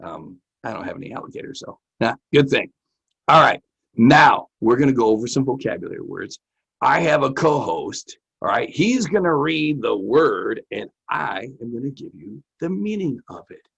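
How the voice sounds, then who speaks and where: A man hosts an educational show in a casual, playful, folksy way. His mid-pitched voice is creaky and a bit husky. His intonation swings widely as he explains things. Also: sing-song, normal speed, a bit bright, dull audio. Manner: folksy, playful, repeats himself. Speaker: host and music teacher. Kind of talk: casual, chatty educational presenting.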